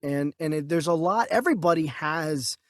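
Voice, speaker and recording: A man's voice: fairly high pitch, expressive, moderate pace, a bit bright, no room sound, clean studio sound. A man in his thirties co-hosting a casual film review chat, enthusiastic and emphatic, rambling as he goes. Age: 30s. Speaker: podcast co-host.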